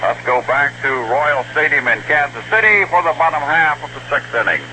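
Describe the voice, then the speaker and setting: A man's voice: a little high, animated, fairly quick, sharp tone, poor audio. An American man reading scripted sports news as a radio studio announcer. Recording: old radio broadcast with faint noise.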